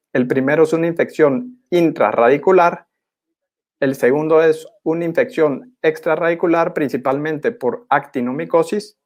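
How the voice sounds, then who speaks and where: A young man gives a formal academic presentation in a measured, didactic manner as a postgraduate student. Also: fairly high pitch, darkish tone. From Guatemala. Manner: measured, didactic, clearly enumerating.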